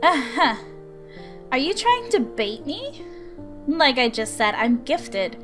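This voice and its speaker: Visual novel brat: high-voiced woman as arrogant girl genius, boastful and dismissive, over music. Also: very animated, slow, a bit bright, full character voice. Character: arrogant gifted girl prodigy, bratty and dismissive. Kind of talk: visual novel character reading.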